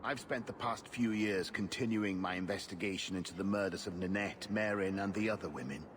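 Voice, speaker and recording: A dogged, serious male investigator, acted by a man speaks urgently in a lowish voice. Clear ambient noise surrounds this video game dialogue. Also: brisk, lightly acted. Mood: urgent, frustrated. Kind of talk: video game cutscene acting. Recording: noisy street ambience.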